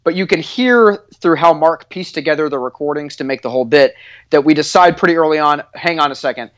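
A man co-hosting a comedy podcast, chatting casually in an excitable, giggly way. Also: on the high side, a little fast. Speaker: podcast co-host. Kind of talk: casual chat about prank calls.

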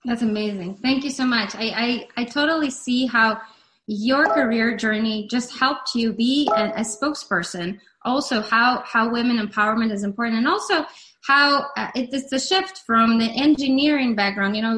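A woman's voice: a little high, moderate pace, fairly bright tone, rough recording. Enthusiastic woman hosting a panel. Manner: enthusiastic, repeats herself. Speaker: panel moderator.

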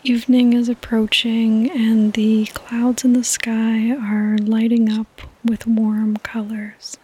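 A woman speaks in a soft, breathy near-whisper with a mellow tone. She guides listeners toward sleep gently and soothingly, keeping her delivery very even and unhurried. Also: fairly high pitch, poor audio. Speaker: podcast host and meditation guide.

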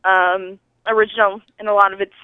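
A woman calling in to a podcast over a thin phone line, giving casual movie feedback in a halting, dryly puzzled way. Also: mid-range voice, flat delivery, clear, resonant tone, no room sound. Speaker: caller to a podcast. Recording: thin, band-limited telephone line.

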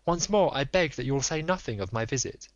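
A man's voice: mid-range voice, animated, fairly quick, no echo, voice pushed up, close to an everyday voice. A man voicing an anxious noble Victorian lady who pleads in formal, earnest speech. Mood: composed. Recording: dull lo-fi dry recording.

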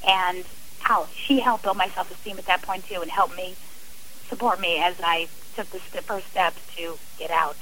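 A woman's voice: on the low side, fairly even intonation, normal speed, bright, forceful tone, low-quality recording. A middle-aged woman speaking as an interview guest, earnest and emphatic in a conversational way. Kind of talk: personal account in a radio interview.

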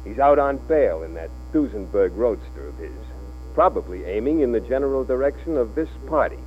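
An actor playing a wry studio press fixer with dry, wordy sarcasm in a 1940s radio drama. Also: male voice, mid-range voice, animated, a little fast, natural voice. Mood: wry, sardonic. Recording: old 1940s radio, muffled with hum.

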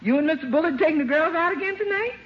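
A woman's voice: extremely high pitch, lively intonation, racing, slight background noise. A woman playing a cheeky, knowing household servant, teasing yet deferential, in a 1950s radio comedy. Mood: amused, teasing. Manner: teasing, deferential yes-sirs. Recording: muffled old radio recording.

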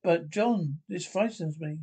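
Give me a man acting an anxious, timid middle-aged man in a radio thriller, stammering protests in a slightly high voice. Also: takes it slowly, dark tone, breathy edge, full character voice. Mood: frightened. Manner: hesitant, stammering. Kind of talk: radio play script read aloud.

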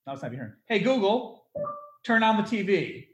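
A man from Chicago with a Midwestern American accent, hosting a casual interview in a rambling, excitable way and swearing freely. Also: sits high, moderate pace, faint music behind. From Chicago, United States. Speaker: podcast host.

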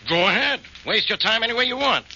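Forceful, high-pitched radio police inspector. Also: male voice, animated, quick pace, exaggerated voice. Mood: gruff, dismissive. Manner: sarcastic, blustering. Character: gruff, cocky, impatient male police inspector. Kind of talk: 1940s radio acting. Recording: old radio recording, dull, faintly noisy.

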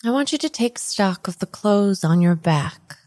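A woman playing a calm, enigmatic tarot reader in an improvised audio drama, speaking in a measured, soothing and formal way. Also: mid-range voice, dry recording, clean studio sound, lightly acted. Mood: reassuring. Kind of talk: improvised radio drama acting. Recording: faint background ambience noise.

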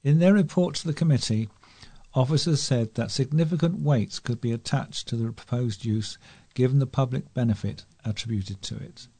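A man reads local news from a script as a volunteer reader. His voice is soft-edged and mellow, at an average pitch for a man. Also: normal speed, husky. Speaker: volunteer newsreader. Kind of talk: scripted local news reading for a talking newspaper.

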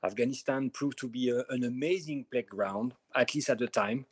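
A man from France with a French accent, guesting on a podcast interview and answering in a measured way, pausing often with small fillers. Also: mid-range voice, slightly rough, no room sound. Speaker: film director guest.

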